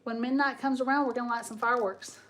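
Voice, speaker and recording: A woman's voice: fairly high pitch, fairly even intonation, quick pace, fairly bright tone, a little hiss or hum. Chatty woman in her forties. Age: 40s. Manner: chatty, talks to viewers and reads comments aloud. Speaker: craft livestream host. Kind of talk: casual live-stream chat while crafting.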